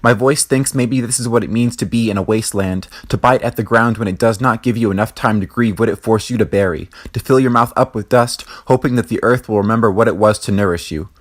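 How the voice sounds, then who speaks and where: A man's voice: on the low side, a little fast, hardly any pauses. A young man, a student poet, reciting a spoken word poem. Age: young adult.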